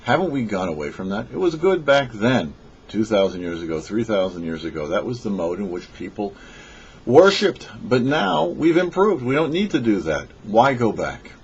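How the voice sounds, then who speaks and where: Low, husky male rabbi teaching. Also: very animated, normal speed. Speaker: rabbi giving a weekly religious teaching. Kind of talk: scripted sermon read for a radio show. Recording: dull, lo-fi audio.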